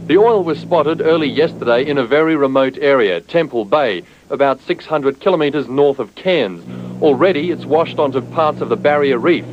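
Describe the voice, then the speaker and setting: Man reporting news over steady hiss. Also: on the high side, normal speed. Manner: plain, conversational reporting. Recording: dull old broadcast tape with steady hiss.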